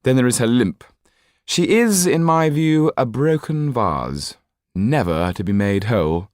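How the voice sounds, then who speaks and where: A man's voice: mid-range voice, very animated, unhurried, darkish tone, no room sound, clean studio sound, pitched above the performer's own voice. A man voicing a callous, pompous French marquis in an audiobook, speaking with drawling disdain and dismissiveness. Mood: cold disdain.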